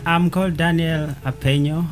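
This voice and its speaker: A man's voice: fairly high pitch, fairly even intonation, normal speed. Young Ugandan man over faint music. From northern Uganda. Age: young adult. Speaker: talk show guest. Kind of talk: self-introduction on a talk show.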